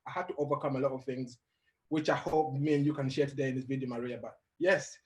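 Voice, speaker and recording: A young adult man who grew up in Uganda, telling his life story as a guest in an interview, animated and laughing as he goes. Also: mid-range voice, quick pace. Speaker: guest motivational speaker.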